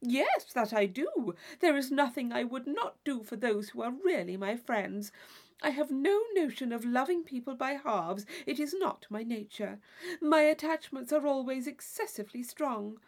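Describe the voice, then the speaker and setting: A woman's voice: sits high, lively intonation, normal speed, voice pushed up. A woman voicing a gushing, theatrical young society lady in a classic novel reading, effusive, affected and intense. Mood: emphatic, self-important.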